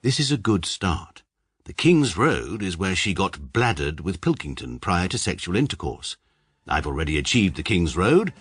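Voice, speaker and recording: A deadpan, deep-voiced barrister narrator. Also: male voice, normal speed, slightly rough, dry recording, studio quality, heavily acted. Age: young adult. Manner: deadpan, precise, self-mocking. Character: dry, pedantic male barrister narrating his life. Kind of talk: comic radio drama narration.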